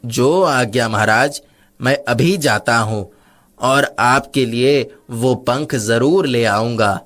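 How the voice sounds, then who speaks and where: A man's voice: mid-range voice, slow pace, a bit bright. A man voicing an earnest, determined young farmer's lad in a cartoon story, polite and firm. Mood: eager, obedient. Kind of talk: Hindi cartoon story character dubbing.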